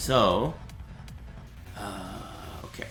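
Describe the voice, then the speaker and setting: A man with a raspy, cracking voice, teaching a fighting game on a live stream in a casual, explanatory way. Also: fairly low pitch, very slow, echoey room, over music. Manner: chatty, explanatory, asks "right?" often.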